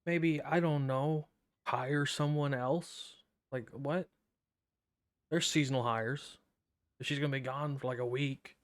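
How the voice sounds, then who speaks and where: A man's voice: medium pitch, steady tone, takes it slowly. A man giving casual, sarcastic gameplay commentary as a streamer, offhand, with game music behind him.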